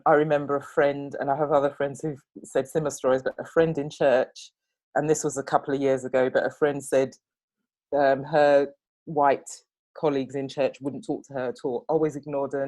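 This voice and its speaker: A speaker with an English accent talking in a measured, reflective way, often using "you know". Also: medium pitch, a little mellow. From England. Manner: measured, with frequent fillers. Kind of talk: reflective casual conversation.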